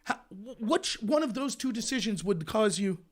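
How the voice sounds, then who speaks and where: A man hosting a live political show, ranting in a loud, indignant voice. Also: sits high, expressive, moderate pace, husky. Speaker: livestream show host. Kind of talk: heated political commentary.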